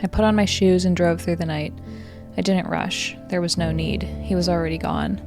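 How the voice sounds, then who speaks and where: An American woman in her twenties reads a scripted first-person story in a measured, reflective way, as a narrator. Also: fairly low pitch, fairly even intonation. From Colorado, United States. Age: 20s. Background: music.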